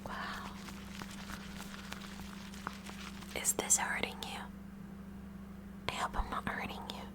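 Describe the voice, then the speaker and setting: A woman voicing a kooky, curious student science club president in a whispered ASMR roleplay, musing in a halting, awkward way. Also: natural voice. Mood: awkward concern.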